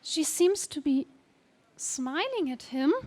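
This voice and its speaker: An actress playing a devoted but jealous young heroine in a space serial radio play. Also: female voice, high-pitched, expressive, slow, darkish tone, no echo.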